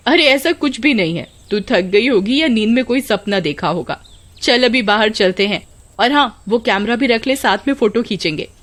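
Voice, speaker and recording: Breezy young best friend voiced by a woman for a cartoon tale: teasing, sing-song, highly animated. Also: normal speed. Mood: dismissive.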